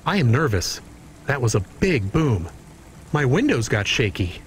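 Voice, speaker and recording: An anxious, simple-hearted AI pet in a futuristic game. His pitch swoops extremely in short childlike phrases, over faint engine noise. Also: big pitch swings, unhurried. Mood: nervous. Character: nervous, childlike, simple-minded dog-like AI companion. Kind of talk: video game voice acting. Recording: faint vehicle noise behind.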